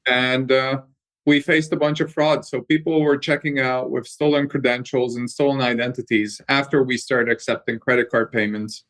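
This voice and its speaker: A man's voice: medium pitch, flat delivery, normal speed, fairly bright tone. A man from Hungary, answering interview questions fluently and at length from his office, restarting on a word now and then. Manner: fluent, explanatory, with repeated words on restarts. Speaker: company co-founder and guest. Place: company office.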